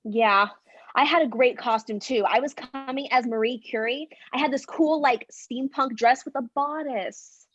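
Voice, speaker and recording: A woman's voice: high voice, unhurried, a bit bright, slightly rough, heavily acted. A woman playing a terse, agreeable, resigned schoolgirl in a staged play reading. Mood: wistful. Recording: dull, muffled stage recording.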